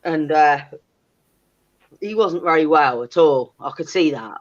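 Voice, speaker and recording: A woman's voice: low-pitched, unhurried. Blunt, sweary woman, muffled audio. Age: 30s. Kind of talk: candid casual chat.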